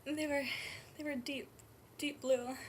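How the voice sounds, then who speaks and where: A woman performing a dramatic stage monologue as a grief-stricken, guilt-ridden nineteen-year-old mother, speaking haltingly and often in a breathy hush. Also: high-pitched, steady tone. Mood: grieving, quiet. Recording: noisy amateur room recording.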